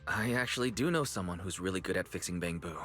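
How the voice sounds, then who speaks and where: A steady, capable young man from a game, reasoning calmly and reassuringly. His voice sits somewhat low. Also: quick pace, soft background music. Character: calm, capable young man guiding the team.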